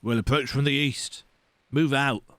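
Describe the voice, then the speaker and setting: A man voicing a calm, commanding soldier in a fantasy video game, curt and assured. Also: medium pitch, unhurried, dry recording, close to an everyday voice. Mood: cold, commanding. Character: stern, calm, commanding male imperial officer.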